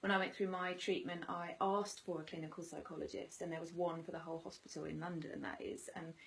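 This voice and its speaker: A woman's voice: on the low side, level tone, fast, vocal fry, low-quality recording. A woman interviewing a guest, musing thoughtfully and correcting herself as she talks. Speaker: interviewer, cancer survivor. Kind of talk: casual reflective interview.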